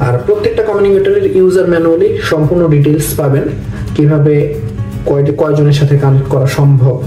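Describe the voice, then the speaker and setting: A man's voice: on the high side, steady tone, fast, dark tone. A man with a Bangladeshi accent giving an explanatory review as a vlog host, mixing English phrases into his speech. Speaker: motovlog host and motorcycle rider. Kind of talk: explanatory product review talk to camera. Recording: echoey room, distant mic, music underneath. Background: background music.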